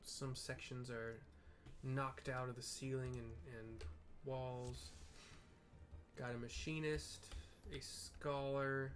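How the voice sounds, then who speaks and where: A man casually narrating gameplay and describing the scenes for blind viewers, in loose, halting bits. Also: medium pitch, level tone. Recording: background music playing under the voice. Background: music from a playlist.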